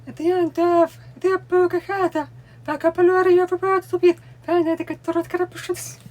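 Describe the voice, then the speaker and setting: A woman with a Russian accent, a native Russian speaker, explaining at home in a deliberate way while she signs along. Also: very high-pitched, flat delivery, a little mellow. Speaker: language learning vlogger. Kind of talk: explaining while showing books. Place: home room with bookcase, indoors.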